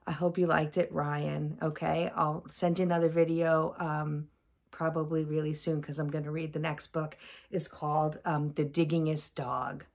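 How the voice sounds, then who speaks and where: A woman's voice: low voice, little pitch movement, normal speed, gravelly, a little mellow. A middle-aged woman chatting informally to a child on a home video before and after reading aloud. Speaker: parent volunteer reading aloud. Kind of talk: casual chat to a child on video. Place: small furnished room at home, seated on sofa.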